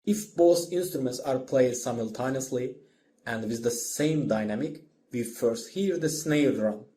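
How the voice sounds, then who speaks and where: Male instructor for tutorial narration, mid-pitched and mellow, simple explanatory delivery, faint noise on the recording. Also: measured pace. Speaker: music instructor. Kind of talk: scripted lesson narration.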